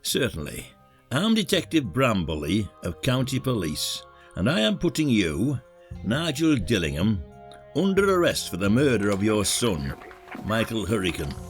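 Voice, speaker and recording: Man playing a cheeky British detective, wildly animated. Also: lowish voice, unhurried, soft background music. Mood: dry, assured. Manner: colloquial, theatrical, needling. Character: blunt, cheeky, shrewd British male police detective. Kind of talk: radio drama acting.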